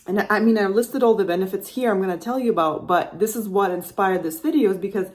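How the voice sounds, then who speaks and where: A woman's voice: medium pitch, fast, darkish tone. A woman from Russia hosting a podcast, telling her personal story in a chatty, rambling way and often correcting herself mid-sentence. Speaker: podcast host.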